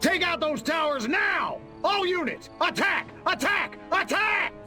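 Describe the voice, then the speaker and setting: A fanatical American army commander barks orders in a very high-pitched, swooping voice. He is pompous and bombastic, a theatrical video game officer, with loud music behind him. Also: animated, unhurried. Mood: furious, rousing. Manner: bellowing, pompous, militaristic.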